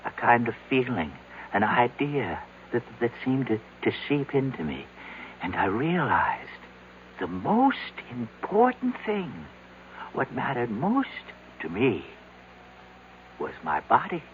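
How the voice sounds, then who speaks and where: Dying old man in radio play, halting n anguished, voice swings around, tinny sound. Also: medium pitch, sing-song. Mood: weak, confiding. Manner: halting, rambling, repeats himself. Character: dying, anguished young man turned seventy-year-old. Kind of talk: 1970s radio drama acting. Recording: tinny band-limited old radio, faint hiss.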